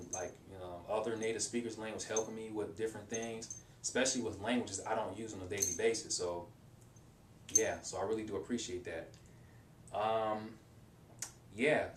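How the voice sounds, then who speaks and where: A young man giving casual, rambling advice in a language-learning vlog, with many restarts and fillers. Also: far from the mic. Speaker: language-learning video maker. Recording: roomy echo, off-mic, faint rumble.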